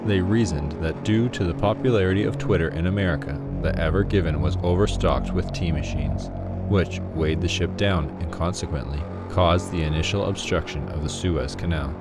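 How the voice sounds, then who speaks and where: A man reading scripted mock-documentary narration in a formal, deadpan manner. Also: low voice, moderate pace. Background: music.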